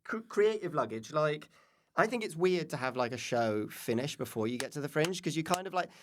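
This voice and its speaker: A man with a fairly high, very animated voice. He banters like a comic on a podcast, excitable and playful, and his sentences tumble and ramble as he goes. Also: brisk. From Hampshire, England. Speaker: comedian guest on podcast. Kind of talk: fast, bantering casual chat.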